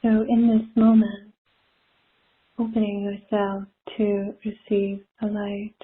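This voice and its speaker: A woman leading a spiritual guided meditation in a soothing, gentle manner with long pauses, recorded from a live call. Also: medium pitch, flat delivery, slightly rough. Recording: muffled phone-like live call audio.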